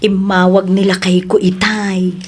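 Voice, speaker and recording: A woman acting a concerned, exasperated family elder and friend in an audio drama, with an Ilocano accent and an expressive delivery. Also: medium pitch, unhurried, slightly rough. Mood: exasperated, scolding.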